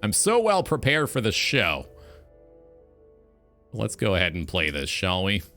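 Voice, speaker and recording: Man, talk show host, very expressive pitch, bright tone, casual, earnest, reflective, soft background music. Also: unhurried.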